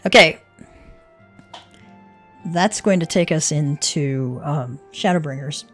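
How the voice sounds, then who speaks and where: A woman's voice: deep voice, slow pace, a bit bright, faint music behind. A woman streamer narrating and chatting casually in a cozy game read-aloud.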